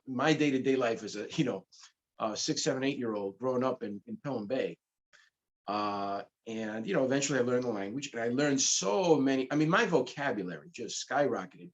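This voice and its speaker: A middle-aged man from the Bronx whose first language is Spanish, telling stories in a casual interview with laughs as he goes. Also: mid-range voice. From the Bronx, New York. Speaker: comic book publisher, guest.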